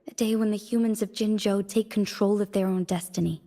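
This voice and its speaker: A woman acting as a young city magistrate in a fantasy game. Her mid-pitched voice is formal and composed, carrying solemn duty and quiet resolve. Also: steady tone, fairly quick, lightly acted. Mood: grave, reflective. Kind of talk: scripted video game dialogue.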